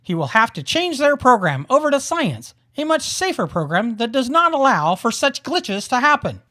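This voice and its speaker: A woman narrating a scripted audio drama in a deliberate storytelling manner. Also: fairly high pitch, big pitch swings, sharp tone. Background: music.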